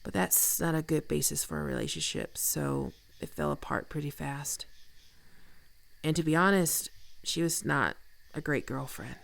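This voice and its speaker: Woman acting a very creaky, teasing flirt. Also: deep voice, animated, heavily acted. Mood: reluctant, reflective. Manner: casual, teasing, unhurried. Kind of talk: intimate ASMR roleplay acting.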